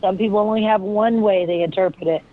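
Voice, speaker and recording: Raspy hoarse lady rambling on, lots of you knows, tinny phone sound. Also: mid-range voice, fairly even intonation, quick pace. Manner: rambling, searching, full of fillers. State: hoarse from illness. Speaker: guest, friend of the deceased. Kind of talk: casual interview talk.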